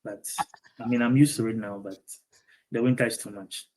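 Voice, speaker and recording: A young man with a Ghanaian accent, a doctoral student being interviewed over a video call, speaking thoughtfully with many pauses and ums. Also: mid-range voice, fairly even intonation, mellow, low-quality recording.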